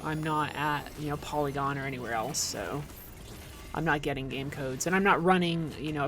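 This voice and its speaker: A woman's voice: fairly low pitch, fairly bright tone. A woman doing casual livestream game commentary, chatting informally with viewers and rambling into playful asides. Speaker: livestream host playing a video game.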